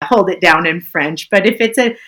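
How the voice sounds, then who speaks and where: A woman from Canada with a friendly, sing-songy voice, a guest telling lively stories in a casual interview and laughing often. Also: lowish voice, moderate pace. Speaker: tour business owner, guest.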